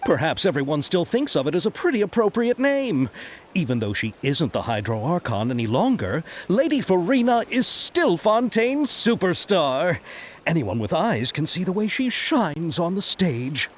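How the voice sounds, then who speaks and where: A man's voice: high voice, sing-song, moderate pace, slight background noise. An actor voicing a polite, eager young male film producer in a game, courteous and wordy, with nervous little laughs. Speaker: game character. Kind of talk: video game voice acting. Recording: game audio with soft music.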